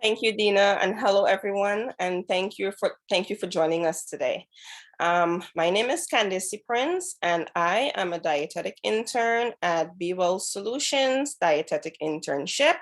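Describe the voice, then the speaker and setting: A woman's voice: mid-range voice, lively intonation, normal speed. A woman from Guyana, a dietetic intern, co-presenting a webinar in a hesitant way with many fillers.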